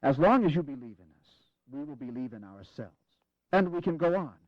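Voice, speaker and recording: An actor playing a grave, courteous American Revolutionary general in an audio drama, speaking in a measured, formal way. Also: male voice, fairly high pitch, animated, no room sound, close to an everyday voice. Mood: earnest, encouraging. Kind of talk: dramatized history audio acting. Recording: dull, lo-fi 1980s audio drama.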